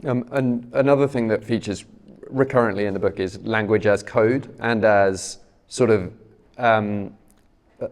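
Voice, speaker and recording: A man interviewing an author onstage, measured and searching for his words. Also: on the low side, a little mellow. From Britain.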